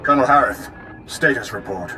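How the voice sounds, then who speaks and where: An actor playing a fanatical Sith executor in a video game, solemn, commanding and menacing. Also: male voice, mid-range voice, a little fast, bright, forceful tone, faint music behind. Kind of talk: dramatic video game villain acting.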